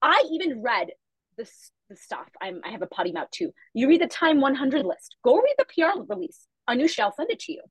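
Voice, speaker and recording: Woman talking super fast, kinda husky, dry close sound, emphatic. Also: sits high, no room sound, rough recording. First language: Chhattisgarhi. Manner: fast, animated, emphatic storytelling. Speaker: guest, media company founder. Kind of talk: casual podcast interview.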